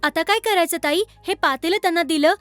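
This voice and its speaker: A woman playing an earnest, kindly younger sister with expressive delivery in a children's cartoon. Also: very high voice, fairly quick, dry recording, faint music behind.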